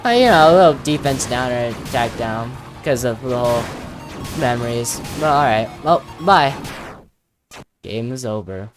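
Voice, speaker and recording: A woman's voice: rumbling low, expressive, normal speed. A woman giving casual, playful gameplay commentary as a video creator, with jokey, mock-dramatic asides. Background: music.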